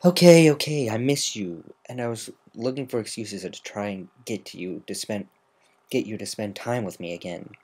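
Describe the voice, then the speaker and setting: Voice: medium pitch, moderate pace, darkish tone, breathy edge. A reader voicing a teasing, sardonic female griffin who is secretly fond and caring, in a dramatic fan fiction reading. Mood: defensive, sad.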